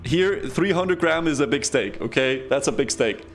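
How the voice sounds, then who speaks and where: A man from Denmark, chatting excitedly with his viewers while he streams a game. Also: mid-range voice, moderate pace. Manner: excitable, chatty, talks straight to chat. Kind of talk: casual live-stream commentary.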